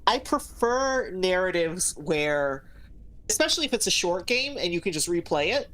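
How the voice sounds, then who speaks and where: A woman co-host chatting casually about a game, thinking aloud in a halting way. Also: lowish voice, sing-song, fairly bright tone, poor audio. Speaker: gaming co-host. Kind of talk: casual chat reviewing a game.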